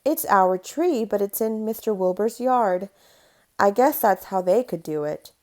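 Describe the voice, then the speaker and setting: A woman's voice: mid-range voice, lively intonation, measured pace, natural voice. A woman voicing an adventurous, imaginative young boy in a children's storybook, with earnest, simple delivery. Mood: resigned.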